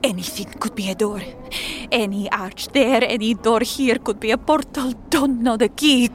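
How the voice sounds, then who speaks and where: An actress playing a frightened, half-mad woman with a Spanish accent, halting and haunted, slipping Spanish words into her English. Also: a little high, a little hiss or hum, lightly acted. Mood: anxious despair. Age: middle-aged. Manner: halting, haunted, mixing Spanish into English, often dropping to a whisper. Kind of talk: audio drama acting.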